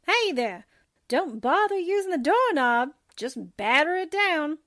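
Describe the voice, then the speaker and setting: A woman's voice: high-pitched, very animated, a little slow, background noise. A woman playing a wisecracking, sardonic newspaperwoman, full of slangy quips, in 1940s radio drama style. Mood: teasing, sardonic. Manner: sassy, slangy exclamations and quips.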